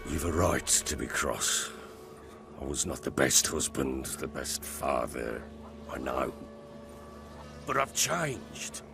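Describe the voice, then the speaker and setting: Gravelly, boorish, remorseful father. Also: extremely low pitch, sing-song, slow pace, long pauses, music in the background, exaggerated voice. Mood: wheedling remorse. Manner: heavy, wheedling, emotional. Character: gruff, drunken, remorseful father, boorish and wheedling. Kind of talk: video game cutscene acting.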